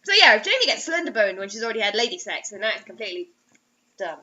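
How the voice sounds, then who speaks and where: A woman's voice: medium pitch, big pitch swings, quick pace, bright, forceful tone, highs cut off. A woman co-host making sarcastic, exclamatory comments in casual joking banter.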